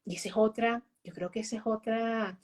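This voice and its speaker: A woman's voice: fairly high pitch, fairly even intonation, normal speed, darkish tone. Chatty woman, full of tag questions. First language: Spanish. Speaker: guest. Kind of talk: casual conversation.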